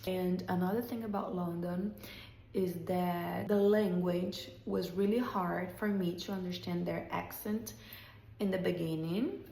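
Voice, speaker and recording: Slow-talking Brazilian woman with a gravelly voice. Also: lowish voice, a little mellow, reverberant, slight background noise, low-quality recording. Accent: Brazilian Portuguese. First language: Portuguese. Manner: slow, deliberate phrasing with short repeated sentences. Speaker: English teacher. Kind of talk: slow spoken English lesson podcast.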